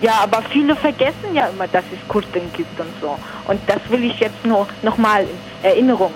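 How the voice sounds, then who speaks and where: A woman playing a shy teenage Kurdish shop girl who speaks hesitant, halting broken German in an improvised radio comedy. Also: mid-range voice, lively intonation, close to an everyday voice, poor audio. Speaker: shop clerk. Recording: music playing behind.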